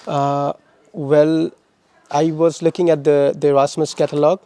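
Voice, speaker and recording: A young man from Pakistan talks through interview questions in a halting way, often restarting and repeating words. His voice is mellow and dark, and his pitch stays flat and even. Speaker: interviewee, former master's student. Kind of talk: casual interview answers.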